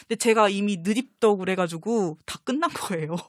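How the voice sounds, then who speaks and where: A woman's voice: medium pitch, fairly quick, no echo, clean studio sound. A woman chatting casually as a guest, telling fan stories in an effusive, gushing way. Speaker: talk show guest, comics publisher designer and voice-drama fan.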